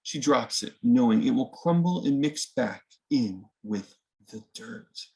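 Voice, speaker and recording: A man reads a children's picture book aloud in a creaky, gravelly voice of medium pitch. He narrates the story and speaks to young viewers in a conversational, explanatory way. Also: animated, a little slow, mellow. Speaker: read-aloud host.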